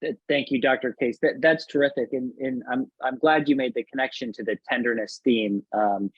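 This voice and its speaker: A man with a husky, mid-pitched voice moderates a panel. He is polite and halting, often restarting as he goes. The sound is slightly muffled. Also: steady tone, dry recording.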